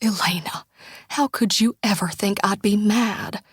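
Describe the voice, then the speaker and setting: A woman voicing a tender small-town mother who speaks in a soft, often breathy near-whisper, pleading and heartfelt. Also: medium pitch, unhurried, no echo, clean studio sound. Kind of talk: audiobook character dialogue.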